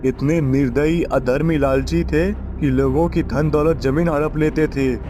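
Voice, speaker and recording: A man's voice: fairly high pitch, steady tone, measured pace, noisy background, lightly acted. A man voicing a stern, scornful, all-knowing old sage in a story cartoon, declamatory and accusing. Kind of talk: acted dialogue in a moral story cartoon.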